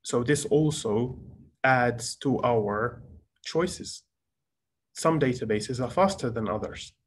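A man in his thirties calmly explaining the choice of databases in an online workshop talk. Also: medium pitch, takes it slowly, husky. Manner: calm, explanatory. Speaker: presenter. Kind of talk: lecture presentation on a video call. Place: small office indoors. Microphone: webcam on a video call.

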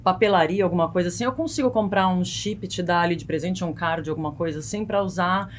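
A woman's voice: fairly low pitch, fast, a little hiss or hum. A woman in her thirties with a Brazilian accent explains things conversationally as an expert podcast guest, often checking in with short tag questions. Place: small foam-padded podcast studio. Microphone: close studio mic on boom arm.

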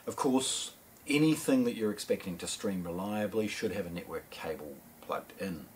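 Low-voiced New Zealand man presenting. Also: slight background noise. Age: middle-aged. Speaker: audio shop presenter. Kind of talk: product unboxing presentation. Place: indoor shop demo room with shelves and speakers.

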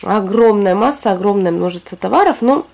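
A woman in her thirties from Russia, hoarse from a cold, chatting casually through a shopping haul at home. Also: mid-range voice, animated, a little fast, darkish tone. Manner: chatty, rambling, talks to herself. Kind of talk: casual product haul chat. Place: home, recording products on a fluffy rug.

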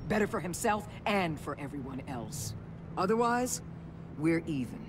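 A woman's voice: deep voice, animated, moderate pace, background noise. A woman playing a hard, defiant middle-aged agency chief in a video game, speaking with cutting authority. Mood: cold, unyielding. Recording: music behind the voice.

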